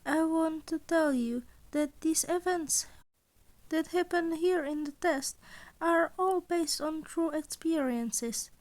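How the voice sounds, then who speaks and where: A woman voicing a calm, scheming teenage boy acting as principal, speaking confidently in a lecturing way. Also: very high voice, takes it slowly, pitched above the performer's own voice.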